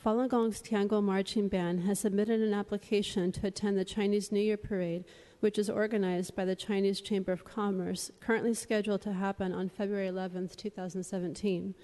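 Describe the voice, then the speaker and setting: Woman, public commenter, earnest formal appeal, soft dark tone, long hall reverb. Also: mid-range voice, flat delivery, fairly quick, hardly any pauses. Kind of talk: prepared formal address at a meeting. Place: large echoing public meeting chamber.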